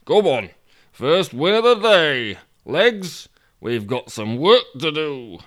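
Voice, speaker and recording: A man's voice: on the high side, very animated, takes it slowly, fairly bright tone, pitched above the performer's own voice, full character voice. A man playing a belligerent, demanding, foul-mouthed man in a comic story. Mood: urgent. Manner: blustering, demanding, sweary. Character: belligerent, foul-mouthed man bossing his own legs.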